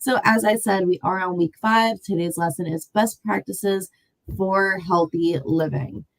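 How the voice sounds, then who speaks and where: A woman's voice: medium pitch, steady tone, measured pace, low-quality recording. A woman nutrition educator giving an online class, upbeat and chatty, sounding a little nasal and congested after laryngitis. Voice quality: slightly nasal. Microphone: video call.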